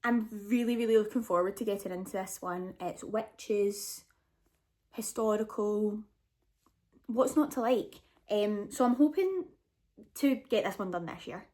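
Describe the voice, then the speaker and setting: A woman's voice: medium pitch, unhurried, warm tone. A woman chatting casually and enthusiastically to camera as a book vlogger, often gushing.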